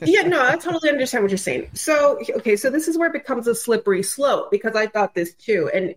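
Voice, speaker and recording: A female podcast guest with a voice of average pitch. She chats casually and colloquially, stressing her points emphatically and checking that her listener is keeping up. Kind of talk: casual chat.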